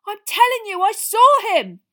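A woman puts on a frantic teenage schoolboy from a storybook, pitched well up and forceful. He is frightened, indignant and insistent, yelling his words urgently. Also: huge pitch swings, slow, heavily acted.

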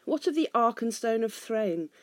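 Woman acting man leading a parley, formal, steady, challenging, storybook fantasy, dry sound. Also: fairly high pitch, normal speed, no echo, pitched above the performer's own voice, close to an everyday voice. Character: male human leader, firm, defiant negotiator.

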